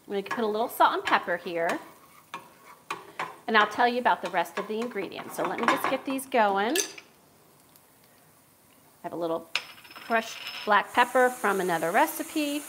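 A woman's voice: mid-range voice, expressive, normal speed, long pauses, a bit bright, a little hiss or hum, rough recording. A middle-aged woman with a Philadelphia accent hosting a live cooking stream from a large home kitchen, chatting and laughing as she cooks, picked up by a camera across the room. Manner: chatty, laughs often, talks while cooking. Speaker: cooking show host. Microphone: far camera.

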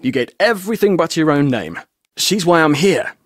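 A roguish, chatty tour guide from an adventure video game. His voice sits slightly high for a man and moves with very lively intonation. He talks like a glib, jaunty showman telling tales, recorded dry with no room sound. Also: moderate pace, no room sound. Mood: wistful storytelling. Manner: glib, jaunty, theatrical storyteller. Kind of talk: video game character dialogue.

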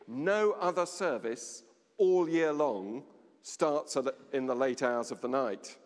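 A middle-aged man giving a sermon at a lectern in a large stone church, measured, with dramatic pauses and a voice that drops low. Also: lively intonation. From England. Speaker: vicar. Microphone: standing at a lectern, far camera. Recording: long church reverb.

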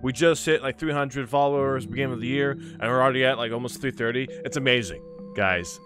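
A young adult man speaking casually and excitably as a gaming video host, swearing at times, close to a desk mic. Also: mid-range voice, a little fast. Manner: animated, swearing, prone to yelling. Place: small furnished room at home. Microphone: close desk mic on boom arm, with headset. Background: music.

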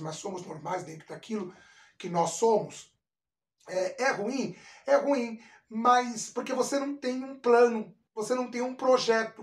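Brazilian man, echoey and distant. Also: sits high. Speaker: vlog host, chef. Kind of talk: casual personal talk to camera. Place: small indoor kitchen. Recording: echoey room, distant microphone.

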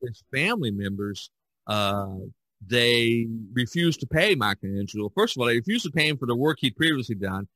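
A man with a bright, forward voice talks casually as a podcast co-host. He tells stories haltingly, circling back and repeating himself, and the sound is close and dry. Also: medium pitch, sing-song, no echo. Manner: halting, repetitive storyteller. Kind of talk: casual art discussion.

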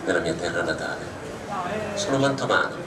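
An actor playing an ancient Roman poet guide, stately and solemn, with a grand, declamatory delivery. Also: male voice, low-pitched, lively intonation, brisk. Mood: welcoming. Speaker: exhibit hologram show. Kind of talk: theatrical exhibit recitation. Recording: echoey hall, heard from far off, loud crowd.

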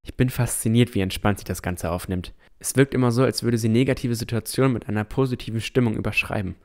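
A man's voice: mid-range voice, steady tone, fast, darkish tone, close to an everyday voice. A man playing a cautious, earnest boy who narrates his own fantasy adventure in an audio drama. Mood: reflective narration. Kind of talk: first-person scripted narration and dialogue.

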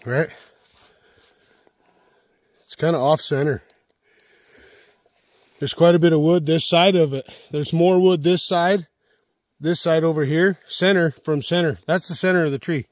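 A man's voice: a little high, steady tone, unhurried, long pauses, no echo. Folksy man in a snowy forest. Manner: folksy, repeats himself, asks the viewer "right?". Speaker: chainsaw vlogger. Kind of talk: casual outdoor show-and-tell. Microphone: helmet-mounted action camera.

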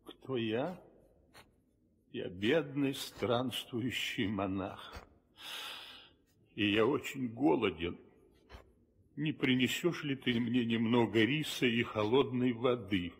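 An old begging monk, humble on the surface but demanding. His voice is husky and slow, ringing with long reverb in theatrical 1960s audio drama style. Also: mid-range voice, exaggerated voice. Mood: solemn, pleading.

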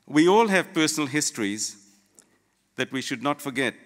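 A middle-aged man from Fiji giving a formal, prepared graduation address in measured, deliberate phrases with pauses, at a podium microphone in a large auditorium. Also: medium pitch, lively intonation. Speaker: graduation guest speaker, lawyer. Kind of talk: prepared formal ceremony address, read from notes. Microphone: gooseneck podium microphone. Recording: long hall reverb.

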